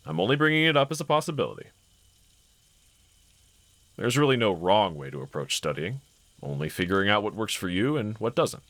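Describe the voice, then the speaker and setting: A man playing a gentle, encouraging husband in an intimate scripted roleplay audio, speaking in a considerate, reassuring manner. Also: low-pitched, no room sound, heavily acted. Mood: tactful, earnest. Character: gentle, encouraging adult husband, calm and caring. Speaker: character audio voice actor.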